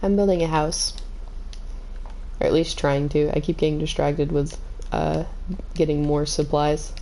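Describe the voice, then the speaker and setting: Woman game streamer, slightly hoarse and scratchy, calm and low, dark gravelly tone. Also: measured pace. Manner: calm, kept in her lower range. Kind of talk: casual gaming stream chat.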